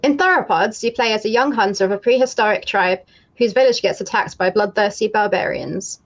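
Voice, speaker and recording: A woman's voice: mid-range voice, brisk, highs cut off. Upbeat young woman presenting her game. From Namibia. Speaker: game developer.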